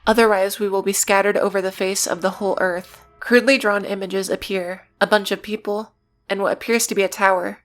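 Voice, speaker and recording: A woman's voice: mid-range voice, a bit bright. A woman narrating a scripted video essay as its presenter, with casual, conversational asides. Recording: music behind the voice. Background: background music.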